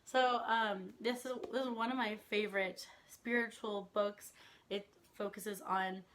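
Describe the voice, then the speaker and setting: A young woman talks casually to her viewers about books, her voice still a little hoarse as it recovers. She is chatty and laughs often, with a mid-range pitch. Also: fairly bright tone. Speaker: book vlogger. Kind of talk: casual chat to camera.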